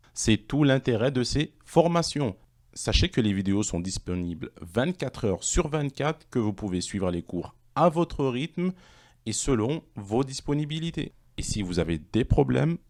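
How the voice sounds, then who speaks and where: A man presenting an online language course, reading questions and answers in a measured, explanatory way. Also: medium pitch, darkish tone.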